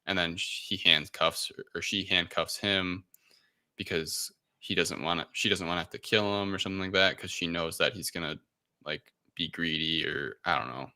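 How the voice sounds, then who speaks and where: A man's voice: low voice, normal speed, pushed and bright. A man co-hosting a casual film review chat, talking in a hesitant, rambling way. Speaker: podcast co-host reviewing films.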